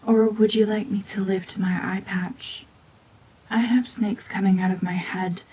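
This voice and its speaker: A woman voicing a cold, mean renegade gorgon witch in a hushed, half-whispered ASMR drama, domineering and sardonic. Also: medium pitch, fairly even intonation, unhurried, husky, rough recording. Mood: threatening.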